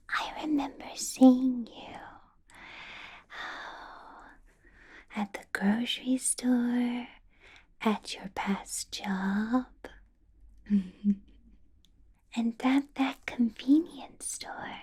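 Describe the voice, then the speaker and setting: A woman voicing a possessive, coolly menacing vampire boss in an ASMR roleplay, whispering slowly and deliberately with musing hums. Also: on the high side, exaggerated voice. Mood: wistful, disappointed. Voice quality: soft, breathy whisper. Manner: slow, deliberate, drawn-out pauses, musing hums.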